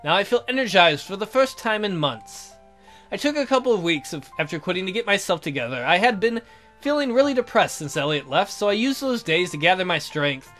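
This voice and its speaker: High-voiced man reading a story aloud. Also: very animated, a little fast, fairly bright tone, soft background music. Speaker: gaming video host. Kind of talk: reading visual novel narration aloud.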